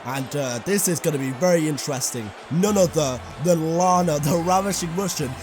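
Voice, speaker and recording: A young man with a fairly high, slightly raspy voice calls a wrestling video game match play by play. He gets excitable and breaks into shouts at big moments. Loud music plays behind him throughout. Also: normal speed. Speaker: gaming commentator.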